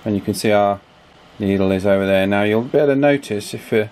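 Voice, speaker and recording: A man's voice: fairly low pitch, quick pace, darkish tone. A man giving a casual hands-on repair demonstration, thinking aloud with some hesitation. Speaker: electronics repair hobbyist presenter. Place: small indoor office workshop. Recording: clear background noise, wind from fan. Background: table fan wind noise.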